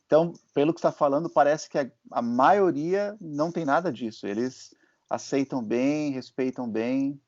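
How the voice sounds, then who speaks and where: A Brazilian man hosting an interview, telling stories in a relaxed way and checking in with his listener as he goes. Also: on the high side, normal speed. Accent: Brazilian Portuguese. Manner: conversational storytelling, checks in with "né?" and "certo?". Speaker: host and physician. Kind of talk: casual interview chat. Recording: muffled lo-fi audio.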